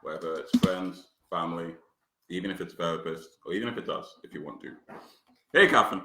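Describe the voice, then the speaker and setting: A man's voice: low voice, a little fast, sharp tone. A man from Manchester co-hosting a casual livestream chat, joking and crude in a laid-back way. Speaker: co-host. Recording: echoey room.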